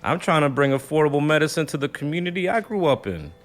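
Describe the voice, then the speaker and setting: A man's voice: a little high, very animated, fast, faint music behind. A man playing a proud, laid-back neighborhood doctor in an audio drama, bantering in slang with some indignation. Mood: indignant.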